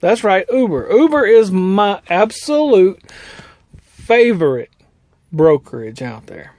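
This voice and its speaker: A man's voice: high voice, very animated, slow pace, darkish tone, no echo, studio quality. A man, an owner-operator truck driver talking casually in a plainspoken, meandering way. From United States. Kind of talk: casual vlog talk.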